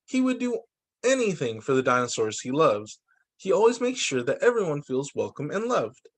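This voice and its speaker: A man who teaches young children, reading picture books aloud in an expressive, sing-song storytime delivery. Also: on the high side, normal speed. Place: remote video call story session.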